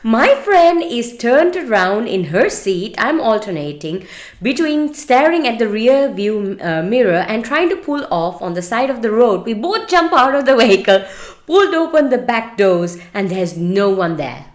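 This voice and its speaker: A woman's voice: fairly high pitch, very animated, moderate pace. A woman podcast co-host, chatting casually and reading stories aloud in an informal, sweary, conversational way. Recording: roomy, echoey sound.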